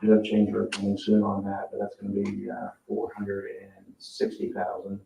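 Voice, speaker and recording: A man's voice: fairly low pitch, completely flat delivery, normal speed, gravelly, mellow. A man giving a matter-of-fact, informal report as a town official at a public meeting, explaining spending requests. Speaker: town utility official presenting spending items to council. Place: indoor council meeting room. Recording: echoey, distant, dull meeting-room audio, faint noise.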